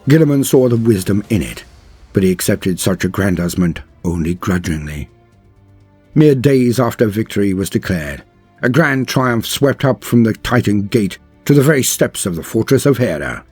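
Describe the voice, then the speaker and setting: A man with a low, husky voice delivers scripted lore as a dramatic narrator. His storytelling is solemn and grand, shaped like an epic chronicle. Faint music plays beneath his words. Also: darkish tone. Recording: music under the voice. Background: background music.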